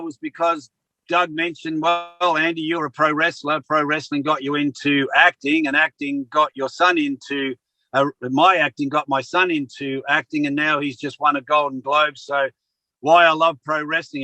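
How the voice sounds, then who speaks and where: A man's voice: a little high, bright, forceful tone, no room sound, poor audio. An older Australian man, a former wrestler and actor, co-hosting a casual interview with a chatty, joking manner. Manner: chatty, jokey, trails off searching for names.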